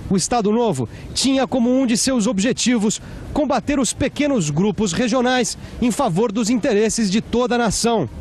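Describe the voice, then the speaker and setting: A man's voice: extremely high pitch, normal speed, darkish tone, a little hiss or hum. A man with a Brazilian accent presenting scripted educational narration.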